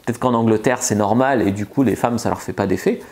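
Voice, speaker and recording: A man's voice: on the low side, fast talker, a little mellow. Casual, chatty male seduction coach. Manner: loose and chatty, frequent filler words and restarts. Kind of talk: casual advice monologue to camera.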